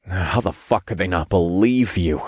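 A man voicing a brash, foul-mouthed male hero who leads battles in a fantasy audiobook, gruff and commanding. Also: on the low side, very animated, moderate pace, dry recording, studio quality. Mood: incredulous.

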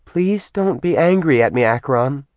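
A man's voice: a little high, lively intonation, moderate pace, darkish tone, no echo, voice pushed up. A man voicing a haughty, vain goddess who is cold and proud, with a commanding manner. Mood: pleading.

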